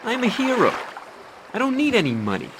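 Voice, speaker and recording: A young actor playing a vain, boastful fairy-tale hero who talks in a brash, impatient way in a game. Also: male voice, very high voice, huge pitch swings, fairly quick, noisy background, heavily acted. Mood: arrogant. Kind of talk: game voice acting.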